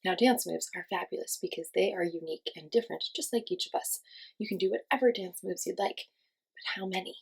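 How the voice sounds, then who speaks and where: A woman teaching young children, giving simple encouraging instructions and asking questions, with pauses for answers. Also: fairly low pitch, vocal fry, husky, poor audio. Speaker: children's class teacher and host. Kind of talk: children's lesson with guided movement.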